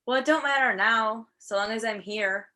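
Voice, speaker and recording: A woman's voice: a little high, moderate pace, pushed and bright. A woman in an amateur play as a defensive small-town wife and mother, folksy and pleading. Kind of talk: amateur one-act play acting. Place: filmed on a video call.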